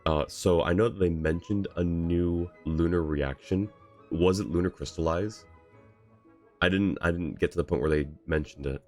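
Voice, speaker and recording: Very low-voiced casual male game streamer. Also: normal speed, a little mellow, soft background music. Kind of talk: casual livestream chat.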